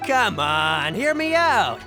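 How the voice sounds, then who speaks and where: A man's voice: high voice, very animated, slow pace, over music, heavily acted. An actor playing a pushy, boastful fruit seller in a video game, wheedling and exaggerating like a salesman. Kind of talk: video game dialogue acting.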